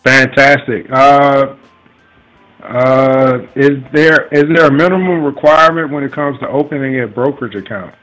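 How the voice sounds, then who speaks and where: A man hosting a conference call in an informal, halting way, often repeating himself as he goes. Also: medium pitch, a bit bright, soft background music, poor audio. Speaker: host of an investing conference call. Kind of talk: casual hosting, informal chat.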